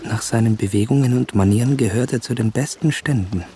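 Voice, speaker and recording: An actor playing an embarrassed, anxious young gentleman traveller in a radio drama, with an Austrian accent and a polite, hesitant manner. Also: medium pitch, brisk, noisy background, lightly acted. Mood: watchful. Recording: music behind the voice.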